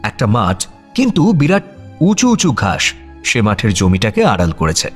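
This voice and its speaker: A man's voice: fairly low pitch, wildly swooping pitch, normal speed, slightly rough, soft background music. A man narrating a scripted audio story in a dramatic storytelling style.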